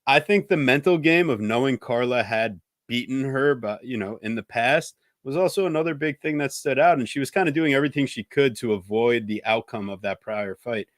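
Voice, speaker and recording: A man co-hosting a podcast, calmly analyzing a fighter's chances and betting odds. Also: mid-range voice, a little fast, hardly any pauses, no room sound, clean studio sound. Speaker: podcast co-host. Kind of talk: casual sports betting chat.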